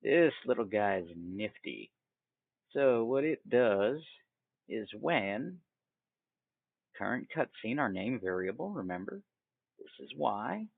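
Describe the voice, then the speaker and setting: A phone-like, dry recording carries a man hosting a casual software tutorial. His lowish voice is thick with fry. He takes his time through each step, informal and folksy, as if thinking aloud. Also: very animated, slow pace, long pauses, no room sound. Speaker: tutorial host. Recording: tinny, phone-like narrow band.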